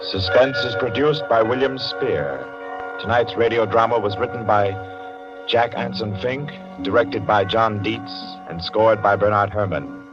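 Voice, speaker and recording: A man's voice: fairly low pitch, a little slow, music in the background. A male announcer giving a formal, polished 1940s radio sign-off, heard on a dull old broadcast.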